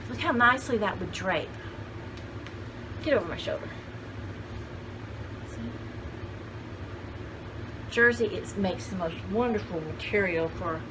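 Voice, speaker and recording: Casting: woman, 60s, enthusiastic rambling vlogger, medium pitch, chatty delivery to camera, recorded at home with noticeable background noise. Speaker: vlog host showing thrift haul finds. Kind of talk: casual chat to camera. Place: cluttered home craft room, indoors. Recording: clearly noisy home video background.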